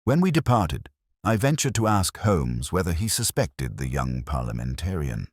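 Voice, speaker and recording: A man narrating a mystery story in a measured, formal, literary manner. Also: sits low, sing-song, no room sound, studio quality. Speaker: audiobook narrator. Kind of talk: scripted dramatic mystery narration.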